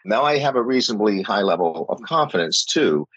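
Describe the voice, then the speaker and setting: A man's voice: lowish voice, expressive, brisk, fairly bright tone, no room sound, muffled recording. A middle-aged man reflecting in an interview, talking thoughtfully with many fillers and restarts. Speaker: guest, former company chief and novelist.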